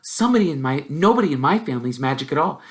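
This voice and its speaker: A man voicing a bossy, know-it-all young girl witch who chatters in a prim, brisk, self-assured way. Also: on the high side, sing-song, voice pushed up. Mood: eager, boastful.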